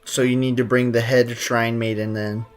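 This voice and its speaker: A man's voice: medium pitch, little pitch movement, moderate pace, soft background music. A man live-streaming a game, commenting in a joking, playful way with lots of slang.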